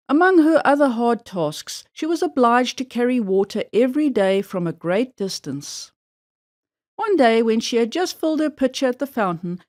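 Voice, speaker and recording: A woman narrates a children's fairy tale in a fairly high voice. Her intonation rises and falls with great animation, and her delivery is measured and expressive, like a storybook read-aloud.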